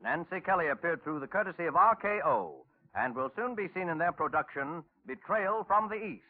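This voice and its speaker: A man reading scripted program credits in a formal, measured 1940s radio announcer style. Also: on the high side, big pitch swings. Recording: tinny 1940s radio recording.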